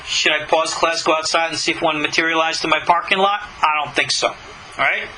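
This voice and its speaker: Bright, high-pitched man teaching as a rabbi. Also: steady tone, fairly quick, poor audio. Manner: emphatic, argumentative, with rhetorical questions. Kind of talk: religious lecture with scripture reading and commentary.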